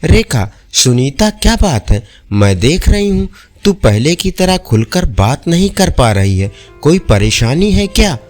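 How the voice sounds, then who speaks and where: A man voicing a caring young married woman who is worried about her friend, speaking gently. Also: a little high, very animated, a little slow, soft background music. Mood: concerned.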